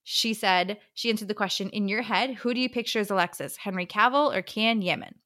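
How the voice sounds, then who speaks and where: A woman's voice: mid-range voice, a little fast, sharp tone. A woman hosting a book podcast, chatting casually in a slangy, exclamatory way. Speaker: podcast host.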